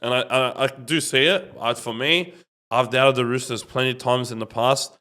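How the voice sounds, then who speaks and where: A man's voice: mid-range voice, fairly even intonation, normal speed, bright, forceful tone. An Australian man in casual sports banter as a podcast panellist, slangy and opinionated, repeating himself for emphasis. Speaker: rugby league podcast panellist.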